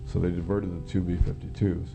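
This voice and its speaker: A middle-aged or older American man recounting a personal experience in an interview, halting, plainspoken and matter-of-fact, as a former serviceman would. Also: low-pitched, level tone, gravelly. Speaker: interviewee, former Air Force air traffic controller. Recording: guitar music playing behind the voice. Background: plucked guitar music.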